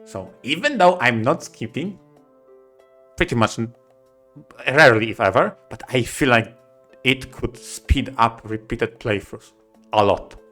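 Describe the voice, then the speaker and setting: Non guy on a gaming podcast, bright sharp voice, emphatic, bit of music behind. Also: mid-range voice, lively intonation, a little slow, pauses often. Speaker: podcast co-host. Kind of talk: casual podcast discussion of video games.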